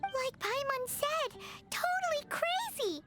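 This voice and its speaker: A woman's voice: very high-pitched, animated, takes it slowly, heavily acted. A woman voicing a tiny, cheeky, childlike flying fairy companion in a video game, exclaiming as she talks. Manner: refers to self in third person, exclaiming. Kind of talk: video game character acting. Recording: loud game music behind. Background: game music.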